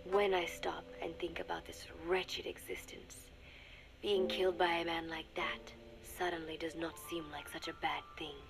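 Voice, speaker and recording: An actress playing a young secret agent confiding in a recorded diary, speaking in measured, formal phrases that often drop to a murmur, wistful and resigned. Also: female voice, sits low, flat delivery, vocal fry, music in the background, low-quality recording. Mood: somber, resigned. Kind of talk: scripted dramatic monologue, recorded diary.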